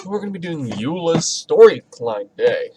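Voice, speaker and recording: A man's voice: a little high, very animated, normal speed, mellow, slight background noise. A man giving casual gameplay commentary as a streamer, talking informally with rambling asides. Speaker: gaming streamer.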